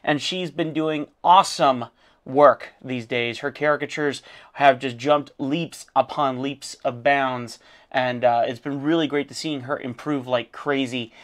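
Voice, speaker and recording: A hoarse man who has lost his voice, an art teacher critiquing a student's drawing in a casual, encouraging way with frequent fillers. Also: mid-range voice, fairly even intonation, measured pace, a bit bright. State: losing his voice.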